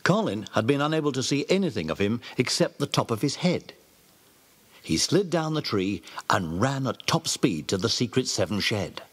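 Husky, highly expressive male narrator. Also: moderate pace. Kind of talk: storybook narration.

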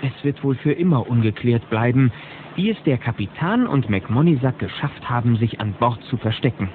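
A male narrator tells a children's adventure play with dramatic, animated intonation. His pitch is mid-range. The sound is narrow and hissy, like an old cassette. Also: normal speed. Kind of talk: scripted dramatic storytelling for children's audio drama.

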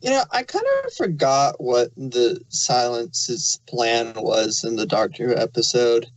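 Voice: medium pitch, lively intonation, unhurried, poor audio. A panelist chatting casually on a review podcast. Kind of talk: casual chat.

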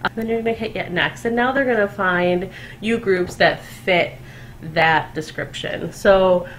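A woman's voice: mid-range voice, measured pace, slight background noise. A woman presenting a casual, unscripted screen-recorded tutorial as a company co-founder, chatty and upbeat with many fillers and restarts.

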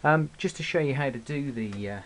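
A man's voice: mid-range voice, fairly quick. A man giving a casual, hesitant, unscripted product review and demonstration as a hobbyist, recorded on a camera microphone indoors. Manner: hesitant, informal, unscripted delivery. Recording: hissy, noisy amateur camera recording.

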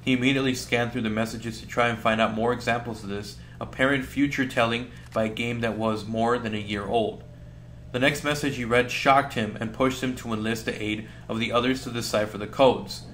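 A man's voice: mid-range voice, steady tone, fairly quick, a bit bright, a little hiss or hum. A man narrating a scripted creepy story about a video game as a video host.

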